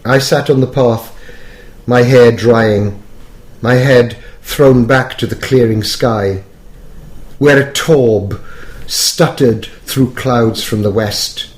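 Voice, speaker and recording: A man reading a poem in the voice of a posh young English officer from the First World War, with a put-on upper-class English accent and a measured storytelling manner. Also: medium pitch, close to an everyday voice. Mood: wistful. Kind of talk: poetry reading. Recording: roomy echo.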